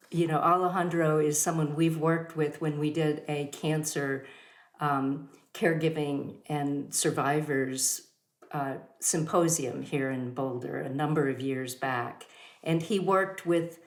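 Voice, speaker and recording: Lady hosting a yoga talk, deep voice, lots of ums, roomy echo. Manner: warm introductions with many fillers. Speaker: host of a yoga studio talk.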